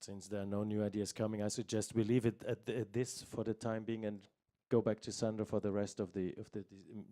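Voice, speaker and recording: A man with a low voice chairs a planning discussion. He steers it along with easy humor and teases the participants kindly while keeping the meeting moving. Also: flat delivery, brisk. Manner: jovial, teasing, gently steering the discussion.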